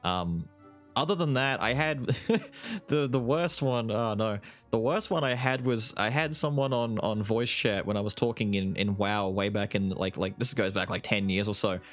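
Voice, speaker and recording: A man's voice: on the low side, moderate pace, a bit bright. A young Australian man answering viewer questions in a casual, rambling, self-deprecating way. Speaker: YouTube gaming creator answering viewer questions. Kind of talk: casual Q&A chat. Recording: voice over faint background music.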